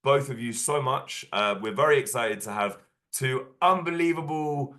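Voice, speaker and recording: Croaky man hosting an online workshop. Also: medium pitch, normal speed, fairly bright tone. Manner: casual, filler-heavy, upbeat. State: hoarse from a cold. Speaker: workshop host.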